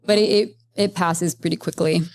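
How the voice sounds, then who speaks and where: A woman therapist speaking as a podcast guest, explaining at length in a lively, conversational way with many fillers. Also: lowish voice, moderate pace. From Las Vegas, Nevada, USA. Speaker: guest, psychedelic integration therapist. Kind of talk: conversational interview.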